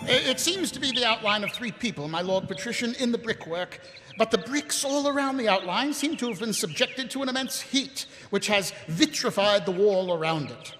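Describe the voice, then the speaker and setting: A man's voice: high-pitched, lively intonation, moderate pace, bright, forceful tone, soft background music. A man playing a bumbling, hesitant captain of the city watch, stammering and self-important, in live audio theatre. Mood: deferential, uneasy. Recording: hall reverb, noisy live stage recording.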